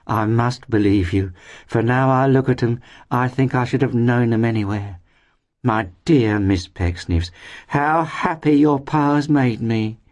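A man voicing a bony, effusively affectionate landlady in a storybook reading, gushing and exclaiming in drawn-out phrases. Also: medium pitch, a little mellow, pitched above the performer's own voice, lightly acted. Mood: tender sighing.

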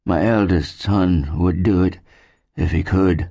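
A man voicing a sick, worn-out settler in an audiobook, anxious and gloomy, with faint breath and slow, labored, grim speech. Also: low voice, fairly even intonation, vocal fry, warm tone, heavily acted. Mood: mournful.

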